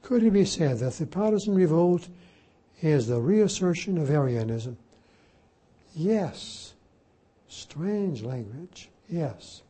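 A man's voice: fairly high pitch, lively intonation, slow, warm tone, slightly rough. An older man, a Jesuit priest whose first language was Slovak, teaching a class informally and spelling words out letter by letter. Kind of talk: informal lecture and Q&A.

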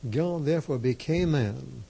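Slow gravelly priest guy, dark husky voice, slovak first language, hammers and repeats his points. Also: medium pitch. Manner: emphatic, measured, repeats key phrases. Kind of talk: slow deliberate sermon.

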